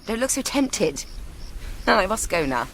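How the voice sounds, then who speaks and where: A woman's voice: medium pitch, very animated, a little slow, fairly bright tone, a little hiss or hum, low-quality recording. An actress playing an articulate young Englishwoman, educated, confiding and lightly teasing, in naturalistic radio drama dialogue. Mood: playful, teasing.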